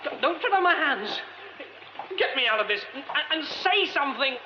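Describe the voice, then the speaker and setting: Excitable, high-voiced British gentleman. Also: moderate pace. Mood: panicked. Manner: expressive, muttering exclamations to himself. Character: polite, excitable, educated English theatre man. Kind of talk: radio drama acting. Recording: dull, noisy radio recording.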